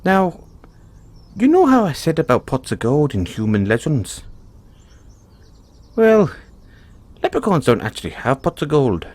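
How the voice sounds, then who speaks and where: A man's voice: on the high side, wildly swooping pitch, unhurried, pauses often, a little mellow, no echo, exaggerated voice. A man voicing a kindly, playful leprechaun in an audio roleplay, folksy and reassuring. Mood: cheerful, generous. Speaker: roleplay performer. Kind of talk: audio roleplay, speaking softly to one listener.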